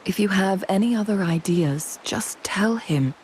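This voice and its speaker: Actress as a mellow, composed noblewoman advisor. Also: mid-range voice, expressive, a little slow, slight background noise, lightly acted, rough recording. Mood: gentle, earnest. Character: composed, perceptive noblewoman advisor, calm and gently probing. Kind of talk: video game voice acting.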